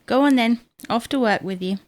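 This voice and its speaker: A woman playing a brisk, sarcastic, bossy but caring wife in an audio comedy drama, with a dry, chiding manner. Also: on the high side, dry recording, natural voice.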